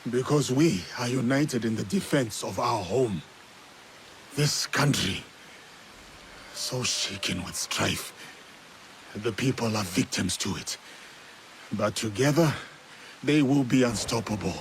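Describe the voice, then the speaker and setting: A man's voice: medium pitch, takes it slowly, creaky, pauses often, slight background noise. A man voicing a vengeful, resolute samurai in a video game, solemn and defiant in manner. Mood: solemn resolve. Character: vengeful, resolute male samurai, a former slave. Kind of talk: dramatic video game acting.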